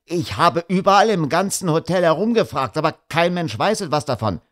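A man's voice: a little high, expressive, a little fast, fairly bright tone, voice pushed up. A man voicing a flustered, anxious male hotel servant who is eager to please. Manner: anxious, deferential.

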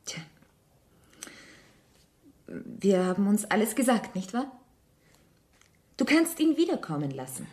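An actress playing a composed, candid wife in a German radio drama, speaking quietly and deliberately, with restraint. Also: female voice, medium pitch, sing-song. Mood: resolute, quiet. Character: composed, candid wife leaving her rich husband.

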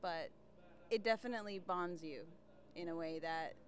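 A woman's voice: on the low side, moderate pace. A young woman from Finland and Africa answering interview questions casually with light amusement. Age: young adult. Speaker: mission worker. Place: indoors at a mission house.

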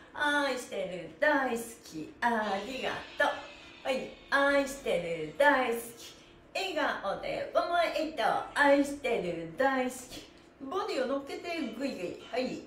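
A woman's voice: sits high, a little hiss or hum. A middle-aged woman leading a live stretching class in a cheerful, encouraging, polite manner, recorded indoors from a few metres away. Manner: cheerful, encouraging, laughing often; polite, playfully adds honorifics to body parts. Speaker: stretching instructor, livestream host. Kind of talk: live exercise class with counted chants. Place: small bare-walled room with wooden floor, indoors. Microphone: camera mic a few metres away. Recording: echoey room, distant microphone.

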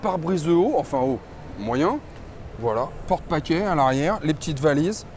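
Man in his forties, slightly high voice, eager explainer, loud hall noise behind. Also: expressive, normal speed. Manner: enthusiastic, explains features. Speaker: show co-host. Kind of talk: casual chat touring a trade show. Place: large indoor exhibition hall. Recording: noisy exhibition hall.

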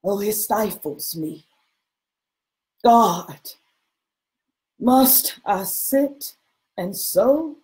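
A woman recites poetry dramatically in a mid-range voice. She goes extremely slowly, measured and solemn, with passion in the delivery. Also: mid-range voice, lively intonation, a little mellow.

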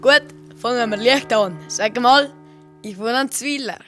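A woman's voice: a little high, measured pace, sharp tone, faint music behind. A woman acting a blunt young villager with a Valais Swiss German accent in an amateur radio play. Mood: blunt, instructing.

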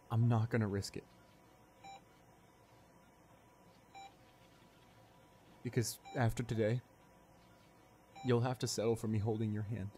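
A man playing a tender, remorseful young boyfriend in an ASMR audio roleplay, speaking softly and haltingly with emotion. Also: mid-range voice, slight background noise, close to an everyday voice. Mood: gentle, caring. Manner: halting, soft-spoken, emotional delivery with pauses. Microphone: close studio condenser microphone.

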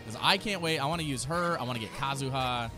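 A man's voice: medium pitch, fast. A man in his thirties giving enthusiastic, slangy reaction commentary in a casual style. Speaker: video host reacting to game trailers. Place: small indoor room, streaming setup. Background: game trailer music.

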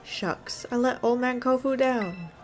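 A woman's voice: on the high side, unhurried, a little mellow, faint music behind, close to an everyday voice. A woman playing a cocky young apprentice. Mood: deflated. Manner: brash, taunting.

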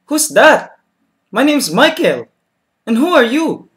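Nosy eight-year-old boy, high and eager, a man playing him. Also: big pitch swings, slow, a bit bright, exaggerated voice. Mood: curious. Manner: asks endless eager questions. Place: small bedroom, indoors.

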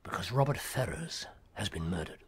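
A man playing an incisive, cool Victorian gentleman detective in a British radio drama, speaking in a clipped, precise way. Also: on the low side, expressive, moderate pace, husky. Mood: urgent, brisk. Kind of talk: BBC radio drama acting.

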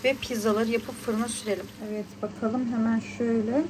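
A woman's voice: fairly high pitch, level tone, a little fast, noisy background. A woman in her thirties chatting casually and colloquially as she narrates her everyday vlog. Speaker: vlogger. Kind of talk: casual vlog narration while shopping and cooking.

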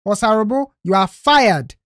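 Stern male boss acted by a woman, fed up, authoritative scolding, heightened pitch, audio drama. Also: lively intonation, moderate pace, a bit bright, no echo, clean studio sound, natural voice.

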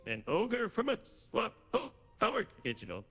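A man voicing a disapproving, pompous older father in an animated comedy, haughty and cutting. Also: high voice, moderate pace, slightly rough, long reverb tail, lo-fi sound, faint music behind, exaggerated voice. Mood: disdainful.

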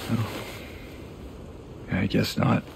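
An actor playing a troubled, gentle young man in a video game drama, with a hesitant, concerned, soft-spoken delivery. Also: extremely slow, noisy background. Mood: cautious, concerned. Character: troubled, gentle man searching a haunted town.

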